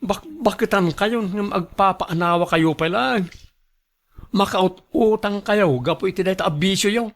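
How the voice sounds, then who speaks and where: A man's voice: high voice, measured pace. A man acting in a radio drama as a stern, exasperated adult son, firm and reproachful, with a Filipino Ilocano accent. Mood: scolding. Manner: scolding, firm.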